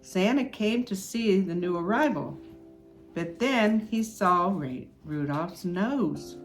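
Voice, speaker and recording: Older woman reading a storybook, very animated. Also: medium pitch, takes it slowly. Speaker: storyteller reading aloud for children. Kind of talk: children's storybook read-aloud. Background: music.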